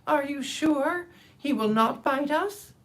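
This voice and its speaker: A squeaky, indignant mouse queen. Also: animated, slow, fairly bright tone, heavily acted. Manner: indignant and regal. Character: tiny proud female mouse queen.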